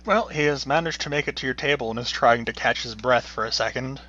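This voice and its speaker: Laid-back male game master: bright, forceful voice, lots of fillers, dull lo-fi sound. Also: mid-range voice, brisk. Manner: relaxed, laid-back narration with frequent fillers. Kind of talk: narrating a tabletop game. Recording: dull lo-fi recording.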